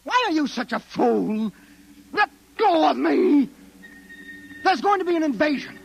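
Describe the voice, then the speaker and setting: An actor playing an agitated, melodramatic man in a 1950s radio drama, pleading to be believed, with a husky voice. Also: very high-pitched, very animated, unhurried, a little hiss or hum, heavily acted. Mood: frantic. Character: frantic, disbelieved man warning of alien invasion.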